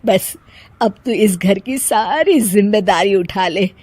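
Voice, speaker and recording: Casting: woman as doting older cartoon mother-in-law, motherly and slow, close dry recording. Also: mid-range voice, a little mellow, husky, no echo. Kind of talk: cartoon story dialogue.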